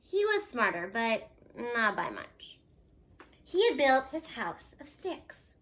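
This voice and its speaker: A woman reads a storybook to children in a high, very lively voice. Her delivery is dramatic and expressive, with a bright edge and drawn-out emphasis. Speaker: storybook reader. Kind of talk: animated children's read-aloud.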